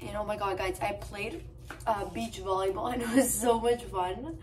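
A young woman with a hoarse voice from being sick, chatting to her phone camera in a hotel room in a bubbly, gushing way. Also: mid-range voice, steady tone, moderate pace, darkish tone. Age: young adult. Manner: bubbly, gushing, talks to viewers as guys. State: hoarse, lost voice from illness. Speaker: travel vlogger. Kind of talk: casual vlog chat to camera. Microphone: handheld phone camera at arm's length.

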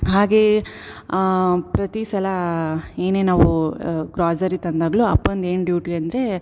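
A woman's voice: on the low side, takes it slowly. A young woman from India vlogging at home in a casual, chatty way, explaining household things one by one. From Karnataka, India. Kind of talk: casual chat. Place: home kitchen, then living room indoors. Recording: echoey room with background noise.

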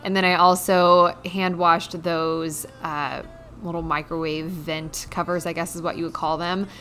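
A woman's voice: fairly low pitch, little pitch movement, unhurried, fairly bright tone, slight background noise. A woman giving a casual, conversational voice-over about household chores as a vlogger. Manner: conversational, chatty. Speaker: home cleaning vlogger.

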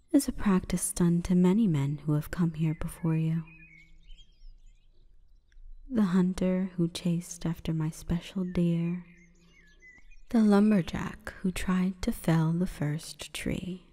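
A woman voicing an imperious, calm forest goddess in a breathy, whispering ASMR roleplay, with stately, measured delivery. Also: fairly low pitch. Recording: long reverberant echo.

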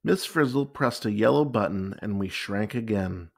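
A man reading a children's picture book aloud with expressive, deliberate storytelling. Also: fairly low pitch, creaky. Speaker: story time reader.

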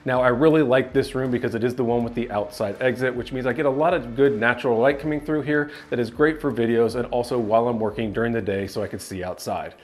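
A man's voice: mid-range voice, steady tone, fast, few pauses. A man in his thirties giving an upbeat walkthrough of his home basement, talking to the camera with a clip-on mic. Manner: upbeat, gestures with his hands while walking and talking to camera. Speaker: tech reviewer giving a home tour. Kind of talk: casual home tour walkthrough. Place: home basement rooms, indoors. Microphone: clip-on lapel mic.

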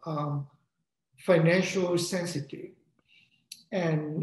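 A middle-aged man with a Vietnamese accent, a composer speaking haltingly on a video call. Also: fairly high pitch, gravelly, darkish tone. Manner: halting, searching for words. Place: home room. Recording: echoey room, faint noise.

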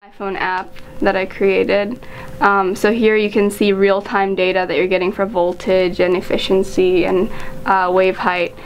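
A woman in her late teens, a polite high school student giving informal answers in an interview. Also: flat delivery, a little slow, highs cut off. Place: small school office, indoors. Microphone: camera microphone in the room. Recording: slight background noise in office.

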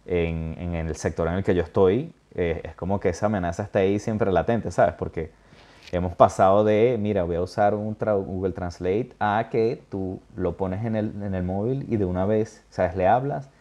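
A man with a very low voice hosts a relaxed interview, thinking aloud as he goes, with pauses, fillers and frequent check-ins to his guest. The sound is dull and lo-fi. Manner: thoughtful, hesitant, many fillers and check-ins. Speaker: interview host. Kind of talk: casual interview chat.

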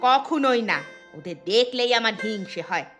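A woman's voice: a little high, lively intonation, moderate pace, soft background music. A woman voicing a jealous, spiteful village wife who grumbles sarcastically in a fairy-tale cartoon. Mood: scornful, jealous. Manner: sharp-tongued, sarcastic, complaining.

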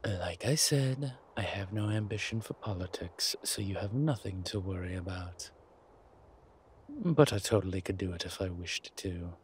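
A man playing a centuries-old vampire in a roleplay audio drama, suave, wry and protective, speaking in a measured, theatrical way with deliberate pauses. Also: low voice, animated. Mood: playfully boastful. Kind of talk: scripted roleplay audio drama addressed to the listener. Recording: clear background ambience noise.

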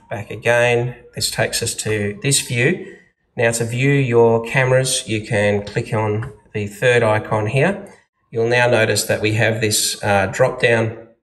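A man's voice: mid-range voice, a bit bright. A man with an Australian accent giving casual, practical step-by-step instructions as an installer showing how something is set up. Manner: plain, practical, informal. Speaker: security installer giving a tutorial. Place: indoors at a wall-mounted monitor.

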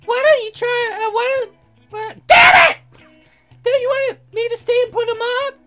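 Lady doing a super high squeaky game girl, sweet and excitable, keeps stumbling, music behind. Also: moderate pace, raised voice. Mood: earnest, eager. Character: eager, flustered young heroine, sweet and girlish.

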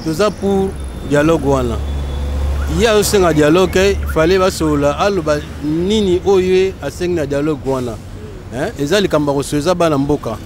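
A Congolese man in his fifties answers an interviewer outdoors. His voice is somewhat high, with faint street noise around it. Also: darkish tone. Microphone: small handheld mic.

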